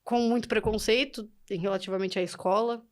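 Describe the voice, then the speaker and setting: A woman's voice: mid-range voice, steady tone, fairly quick. A young woman with a Brazilian accent telling her experiences casually and chattily as a podcast guest. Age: young adult. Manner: chatty, informal, often laughing. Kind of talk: casual conversational storytelling.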